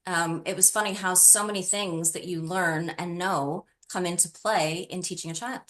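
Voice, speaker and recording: A woman's voice: lowish voice, fairly even intonation, moderate pace, fairly bright tone. A middle-aged woman answering interview questions conversationally, in an earnest and emphatic way. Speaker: interview guest, tutoring business founder.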